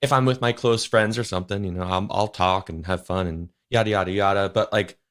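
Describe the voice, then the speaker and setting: A man's voice: low-pitched, steady tone, fairly quick. A young man hosting a podcast, chatting casually and rambling, with lots of "you know" and "like". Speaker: podcast host. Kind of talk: casual interview chat.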